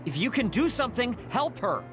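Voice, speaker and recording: A man's voice: extremely high pitch, big pitch swings, fairly quick. A man playing a prickly, impatient young soldier in a video game, blunt and irritable. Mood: insistent. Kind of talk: English video game voice acting. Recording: loud game music behind.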